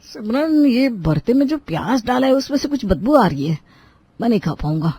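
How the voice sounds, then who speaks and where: A woman's voice: on the high side, big pitch swings, moderate pace, dark tone, breathy edge, no echo, pitched above the performer's own voice, exaggerated voice. A woman voicing a demanding young husband who complains about his food in a cartoon story. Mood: complaining. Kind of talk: Hindi cartoon story dialogue.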